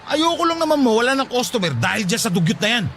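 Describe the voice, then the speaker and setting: Irate, high-voiced Filipino shopkeeper husband. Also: male voice, big pitch swings, fast talker, a bit bright, a little hiss or hum, heavily acted. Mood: indignant, defensive. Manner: scolding, indignant, defensive. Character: irritable, callous husband running a hardware shop. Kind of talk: Filipino radio drama acting.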